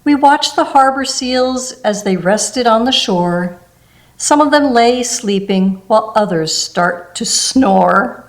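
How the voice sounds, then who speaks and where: A woman reads a rhyming children's book aloud, her voice sitting a little high. She takes the verses at a slow, gentle pace. Now and then she adds a soft aside between the pages. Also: animated. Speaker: storybook reader.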